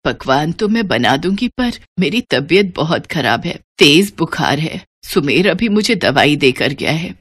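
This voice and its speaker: A woman voicing a weary, meek old mother-in-law in a cartoon story, speaking gently and plaintively. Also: low-pitched, big pitch swings, unhurried, hardly any pauses, breathy edge, heavily acted. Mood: distressed, pleading.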